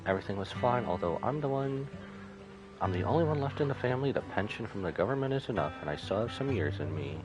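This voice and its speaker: A man voicing a lonely, forgiving older mother from a game, gentle and motherly. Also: fairly low pitch, lively intonation, fast, lightly acted. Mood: tender, sorrowful. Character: lonely, forgiving elderly mother of a lost soldier. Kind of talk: game dialogue read aloud by a streamer. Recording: music behind the voice.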